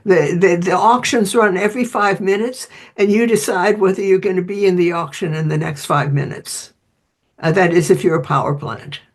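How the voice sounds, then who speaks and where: A woman's voice: lowish voice, normal speed. A woman answering interview questions as an expert author, explaining conversationally and voicing short imagined quotes as she goes.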